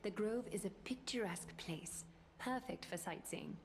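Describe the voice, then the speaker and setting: A woman voicing a composed, courteous noblewoman ruler in an anime-style game, speaking formally and with measured care. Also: mid-range voice, expressive, close to an everyday voice. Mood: reassuring.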